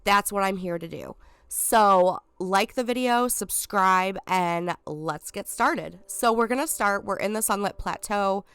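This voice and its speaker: A woman's voice: mid-range voice, measured pace, fairly bright tone, clean tone, dry recording. A woman with a stuffed-up voice from a cold, chatting helpfully as she talks viewers through a video game walkthrough step by step. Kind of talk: casual walkthrough narration.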